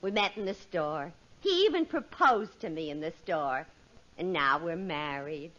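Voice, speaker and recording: An actress playing a female store clerk with a placating, expressive manner, in 1940s radio comedy style. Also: low voice, unhurried, heavily acted. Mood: musing. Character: soothing, then exasperated female store clerk, wife. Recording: dull, noisy old radio recording.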